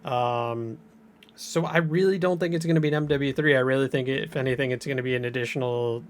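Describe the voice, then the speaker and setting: A man's voice: mid-range voice, fairly even intonation, brisk, slight background noise. A man hosting a video, giving casual gaming commentary to viewers and repeating his points for emphasis. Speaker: video creator and host. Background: music.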